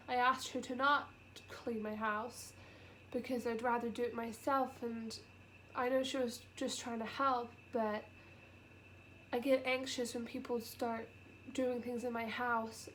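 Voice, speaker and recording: Young woman, stuffy nasal cold voice, somewhat high, hesitant and soft, faint hiss behind. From United Kingdom. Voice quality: sniffly, nasal. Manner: halting and soft-spoken, with many pauses and fillers. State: congested with a cold, close to tears. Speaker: vlogger. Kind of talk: emotional personal vlog. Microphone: camera.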